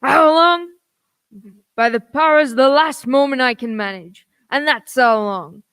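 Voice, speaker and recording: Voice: high-pitched, unhurried, a bit bright, clear, resonant tone, no room sound, studio quality. An actor playing a wily old one-legged pirate sea cook in a radio play, speaking in folksy, cajoling pirate dialect. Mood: scheming, forceful.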